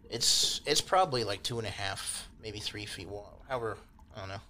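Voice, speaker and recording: A man with a fairly low, bright voice narrates a tabletop game as game master. He describes things loosely and conversationally, adding little asides as he goes. There is faint noise behind him. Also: fairly quick.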